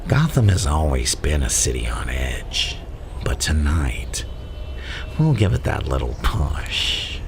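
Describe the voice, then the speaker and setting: Very deep, gravelly whispering villain. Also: male voice, sing-song, slow, background noise, heavily acted. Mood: grandiose menace. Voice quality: gravelly, breathy, often whispering. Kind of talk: ASMR villain roleplay monologue.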